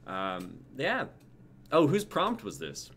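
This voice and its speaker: A man's voice: a little high, wildly swooping pitch, takes it slowly, fairly bright tone. A young man hosting a livestream in casual sign-off chat. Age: young adult. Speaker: livestream host. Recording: background noise, livestream audio.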